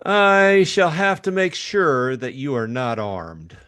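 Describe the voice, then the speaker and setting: A man voicing a small, nervous, politely menacing gunman in an audiobook, speaking formally and deliberately with great courtesy. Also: medium pitch, big pitch swings, pushed and bright, full character voice.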